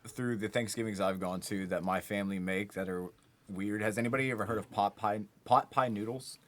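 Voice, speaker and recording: A man with a deep voice talks casually on a podcast panel. His delivery is slangy and informal, like chatting among friends. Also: steady tone, brisk, a bit bright, a little hiss or hum. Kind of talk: casual chat.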